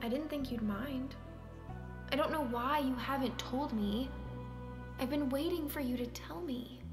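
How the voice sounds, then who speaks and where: A woman's voice: sits high, a little slow. A woman acting a caring, persistent character who coaxes and probes in naturalistic stage-play dialogue, sometimes hesitantly. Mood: coaxing, probing. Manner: coaxing, probing, hesitant at times. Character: Sonia, caring, persistent woman nudging a withdrawn man. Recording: roomy sound with music behind.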